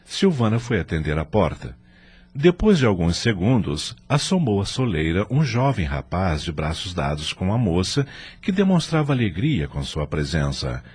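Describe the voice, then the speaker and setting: A man's voice: sits low, very animated, moderate pace, darkish tone, breathy edge. A man narrating a scripted audio drama in a formal, literary storytelling manner.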